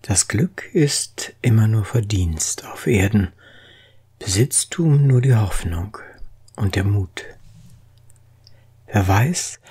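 A reader reciting poetry in a solemn, expressive way, with deliberate phrasing and a breathy, husky voice. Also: mid-range voice.

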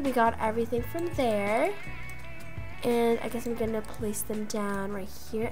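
Young female gaming creator, high girlish voice, chatty roleplay narration, faint music underneath. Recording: voice over background music.

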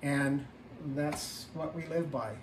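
A man's voice: fairly high pitch, slow pace. A man in his fifties giving a casual, matter-of-fact tour of his small wood-paneled home, recorded by a camera a few feet away. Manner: plain, matter-of-fact explaining with frequent ums. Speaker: homeowner giving a house tour. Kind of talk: casual house tour talk. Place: small wood-paneled tiny house indoors. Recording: roomy, slightly distant with faint hiss.

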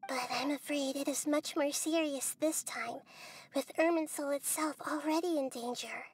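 A woman voicing a gentle, wise, childlike girl deity in an anime game, thoughtful and formal in her measured explanations. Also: high-pitched, fairly even intonation, slight background noise. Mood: thoughtful, grave. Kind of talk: scripted anime game dialogue.

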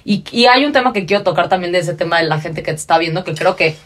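A woman's voice: lowish voice, animated, fast. A woman, co-hosting a podcast in an informal, conversational way. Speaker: podcast host. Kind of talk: casual conversational talk. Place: small podcast studio. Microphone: studio microphone on boom arm.

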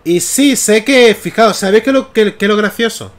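A man's voice: high-pitched, fairly quick. A man in his thirties with a Canarian Spanish accent, chatting casually on a comics livestream through a headset mic in a small room at home. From Canary Islands. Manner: chatty, often checks in with "¿vale?". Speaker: comics streamer. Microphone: gaming headset mic.

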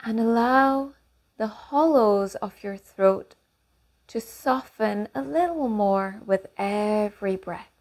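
Slow-paced woman guiding meditation. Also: medium pitch. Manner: calm, unhurried instruction with long pauses. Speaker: meditation guide. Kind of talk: guided meditation with affirmations.

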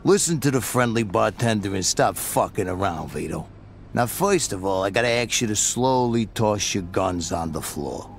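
Armed mob henchman, low voice, mocking, menacing, street slang, faint music, crime game. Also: lively intonation, moderate pace. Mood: mocking menace. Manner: taunting, slangy. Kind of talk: video game voice acting.

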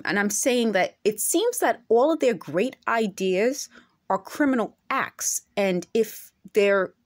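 A middle-aged woman talking straight to her phone camera at home, earnest and insistent, returning again and again to her points. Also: mid-range voice. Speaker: vlogger. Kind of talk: direct-to-camera monologue. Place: indoor room at home. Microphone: phone camera held close.

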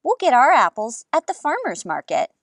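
A kindly old storybook granddad , put on by a woman reader, gently teasing and folksy. The delivery is very animated, in a close, dry sound. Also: sits high, normal speed, no room sound, full character voice.

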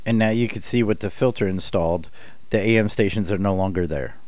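Man, deep voice, scripted how-to narration, dry close sound. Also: brisk, darkish tone, dry recording. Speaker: host of a radio hobby tutorial.

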